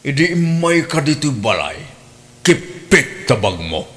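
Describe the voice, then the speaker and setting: A man's voice: a little high, big pitch swings, a little slow, a bit bright. A man playing a stern, easily exasperated household boss in an Ilocano radio drama, scolding emphatically with a Filipino accent. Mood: accusing, suspicious. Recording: long hall reverb.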